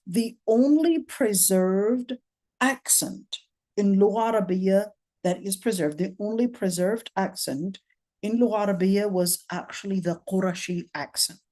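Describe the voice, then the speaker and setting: A woman's voice: mid-range voice, measured pace, warm tone. A middle-aged woman teaching a lecture on Quran commentary, explaining step by step and often checking in with "okay?". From Palestine. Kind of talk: classroom lecture.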